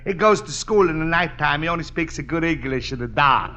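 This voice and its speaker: An actor playing a gleeful, conniving Italian immigrant who wheedles in broken English with an Italian accent in a radio comedy. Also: male voice, a little high, animated, brisk, pushed and bright. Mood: wheedling. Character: scheming, gleeful Italian immigrant man, conniving false friend. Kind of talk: 1950s radio comedy acting. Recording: noisy, dull old radio recording.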